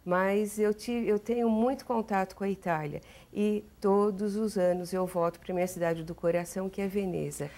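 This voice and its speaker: A middle-aged woman with a São Paulo accent, a watercolor artist answering interview questions in a relaxed, chatty way. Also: medium pitch, moderate pace, hardly any pauses. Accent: Paulistano Brazilian Portuguese. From São Paulo, Brazil.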